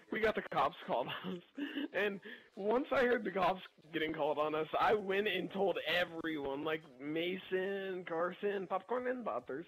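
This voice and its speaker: A young man with a fairly high, husky voice rambles through a story over gameplay. He is animated and slangy, talking casually as if to friends. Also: rough recording. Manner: animated, slangy, chuckling delivery. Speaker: gameplay video narrator.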